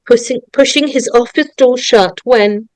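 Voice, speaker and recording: A woman's voice: on the high side, measured pace, no echo. A woman narrator reading screenplay stage directions aloud.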